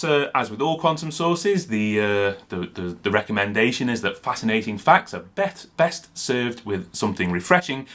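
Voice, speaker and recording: A man hosting an online show from home, talking casually with many filler sounds and pauses. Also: lowish voice, a bit bright. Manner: hesitant, lots of ums. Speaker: podcast host. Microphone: video call.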